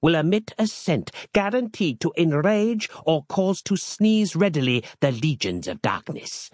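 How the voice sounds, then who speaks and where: A man's voice: fairly high pitch, very animated, unhurried, darkish tone, dry recording, clean studio sound, voice pushed up. Man doing a pompous archaic zealot. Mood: grandiloquent. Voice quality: theatrical, archaic speech. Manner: bombastic, sermonizing. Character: pompous archaic zealot warrior-monk, male.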